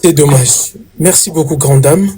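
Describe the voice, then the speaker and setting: A man hosting a live chat, thanking callers and signing off with them in a quick, courteous way. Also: a little high, mellow, a little hiss or hum. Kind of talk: casual chat.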